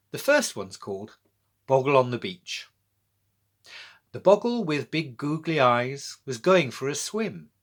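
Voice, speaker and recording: A man's voice: medium pitch, fairly bright tone. A man reading children's poems and chatting about them, speaking directly to young listeners and asking them questions. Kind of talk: children's poetry reading with friendly explanatory chat.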